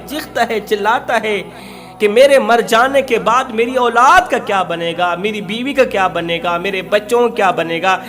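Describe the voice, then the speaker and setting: A man's voice: sits high, a bit bright. A man preaching an emotional Islamic storytelling sermon, impassioned and emphatic, repeating phrases for effect.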